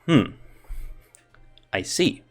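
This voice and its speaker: A man with a Finnish accent speaking English as a second language, talking slowly and haltingly as he reviews a game. He often restarts his sentences and thinks aloud, with faint music playing behind him. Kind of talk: casual game commentary.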